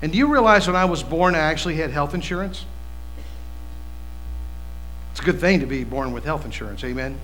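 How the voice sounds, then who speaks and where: A man in his fifties from West Virginia, preaching a sermon in a folksy, animated way with asides to the congregation. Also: on the high side, fairly quick, pauses often, slight background noise, low-quality recording. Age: 50s. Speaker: pastor.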